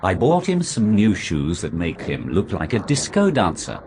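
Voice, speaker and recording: A man delivering an absurd scripted monologue in a deadpan manner. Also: sits low, moderate pace. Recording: noisy recording.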